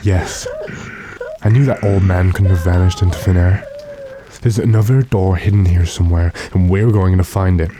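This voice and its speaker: A man playing an earnest, determined gentleman who gives commands dramatically, in the manner of an old radio drama. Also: sits low, steady tone, normal speed, background noise, lightly acted. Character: urgent, determined gentleman trapped with his manservant.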